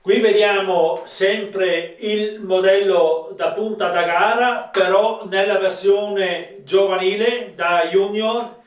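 Man, fifties, South Tyrolean accent, slow halting product presentation, distant mic, echoey room. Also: high-pitched. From South Tyrol, Italy. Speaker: ski maker presenting own products. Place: small wood-panelled rooms of an old farmhouse. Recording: roomy, picked up from a distance.

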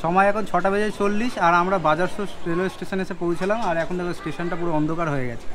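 A man's voice: high voice, steady tone, rapid-fire. A young man vlogging a night train journey, chatting to the camera held at arm's length and listing the stations ahead. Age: young adult. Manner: chatty, lists upcoming stations. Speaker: travel vlogger. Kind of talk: casual travel vlog narration. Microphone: handheld camera at arm's length. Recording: noisy train and platform sound. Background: train and station noise.

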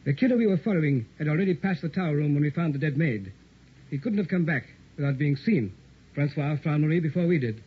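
A man's voice: fairly high pitch, expressive, fast, breathy edge. A man playing a cautious, grim French inspector, formal and foreboding, in an old radio horror play. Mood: stern, brisk. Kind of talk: 1960s old-time radio horror acting. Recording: muffled old radio recording, background noise.

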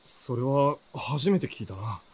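A man playing an easygoing, teasing young traveler in a Japanese historical fantasy radio drama, with a relaxed, bantering manner. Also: mid-range voice, unhurried, slightly rough. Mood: curious, friendly. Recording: music under the voice.

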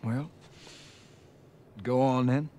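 An actor playing an earnest, plainspoken middle-aged Texan survivor leading a settlement in a video game, in a higher male voice. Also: fairly even intonation, drawn-out words, dark tone. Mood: guarded. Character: earnest younger brother leading a survivor settlement, rugged and hopeful. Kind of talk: video game cutscene acting.

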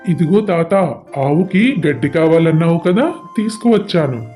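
Man playing a mellow, kind-hearted village farmer. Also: fairly high pitch, expressive, moderate pace, faint music behind, close to an everyday voice. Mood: friendly, helpful. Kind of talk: children's cartoon moral story dialogue.

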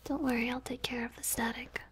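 A woman whispering softly and reassuringly in an ASMR hairdresser role play, recorded on binaural microphones. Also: fairly high pitch, level tone, a little fast. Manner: soft, gentle whispering, reassuring. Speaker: ASMR host playing a hairdresser. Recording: faint noise, clicks, close binaural mics.

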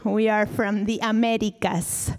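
A middle-aged woman from Argentina answering reflectively as a guest lecturer, earnest and measured, into a handheld microphone on an auditorium stage. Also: medium pitch, flat delivery, a little mellow.

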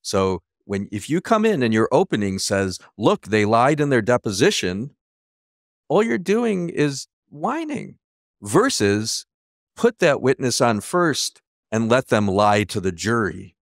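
A middle-aged man hosting in a conversational coaching style, emphatic and deliberate, on a headset mic. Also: medium pitch, very animated, dry recording, studio quality. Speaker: host. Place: office, video call.

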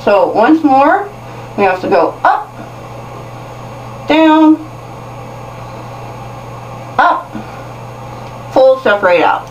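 A woman's voice: high voice, big pitch swings, extremely slow, pauses often, dull audio. A woman in her thirties giving casual how-to instruction to viewers, chatty and self-deprecating, recorded in a small bathroom on a camera mic. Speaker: hair tutorial host. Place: small tiled bathroom, indoors. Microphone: camera mic at a short distance. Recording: roomy, distant camera mic with hiss.

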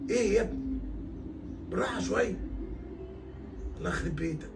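An older man with an Egyptian Arabic accent playing an exasperated father in a family comedy sketch, scolding and sneezing loudly. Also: high-pitched, fast, gravelly. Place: living room at home. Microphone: far camera. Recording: echoey room from far off, loud noise.

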